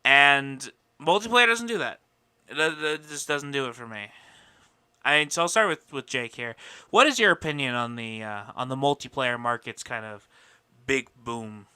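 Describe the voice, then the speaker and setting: A man's voice: medium pitch, lively intonation, moderate pace, sharp tone, smooth. A man hosting a podcast with casual, opinionated talk, given to swearing and mock indignation. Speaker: podcast host.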